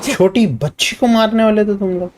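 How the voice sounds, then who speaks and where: A man's voice: high voice, fairly even intonation, very fast, darkish tone, slight background noise. A man with an Indian accent giving casual, joking gaming commentary as a live streamer. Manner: switches between Hindi and English, joking asides.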